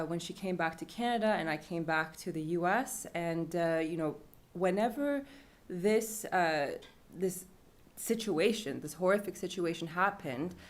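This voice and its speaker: A young woman recounting a personal story at a panel event, casual and conversational with fillers. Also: on the low side. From Iran. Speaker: panel speaker.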